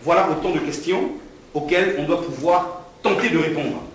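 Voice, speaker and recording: A middle-aged man from Congo giving a speech at a press conference, moving from measured statements to fervent, rousing oratory. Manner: rousing, rising to fervent preaching pitch when speaking to the crowd. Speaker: political candidate at a press conference. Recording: echoey hall from far off, some noise.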